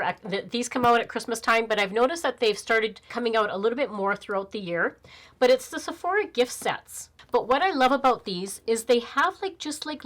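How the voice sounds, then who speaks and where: A woman's voice: medium pitch, fairly bright tone. A woman in her fifties with a slightly nasal voice from a cold, chatting casually on camera as a beauty vlogger, rambling with fillers and restarts. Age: 50s. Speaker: beauty YouTuber. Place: small indoor room, plain backdrop.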